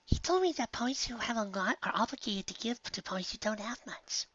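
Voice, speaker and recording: A reader voicing a shy, gentle young mare who speaks quietly and softly. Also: mid-range voice, expressive, fairly quick, dull audio, pitched above the performer's own voice. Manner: soft, quiet, timid.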